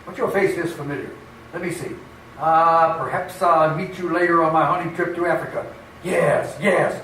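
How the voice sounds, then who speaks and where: Man acting a high-voiced, boisterous would-be President. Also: fairly even intonation, normal speed, a bit bright, slight background noise, close to an everyday voice. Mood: pompous. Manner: pompous, hearty, declamatory. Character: deluded, boisterous nephew who believes he's the President. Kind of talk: radio theater acting. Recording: very echoey room, distant mic.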